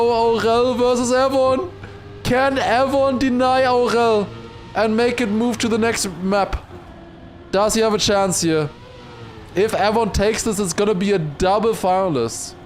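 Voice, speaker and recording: A man livestreaming excited esports commentary mixed with casual chat, raising his voice to a shout at big moments. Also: high voice, unhurried, faint music behind. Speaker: esports watchparty streamer and commentator.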